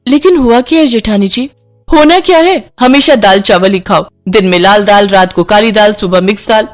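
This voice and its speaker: A woman's voice: medium pitch, normal speed, lightly acted. A woman voicing a fed-up but timid young Indian daughter-in-law, whiny and exasperated, in a story. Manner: whiny, exasperated, polite to elders.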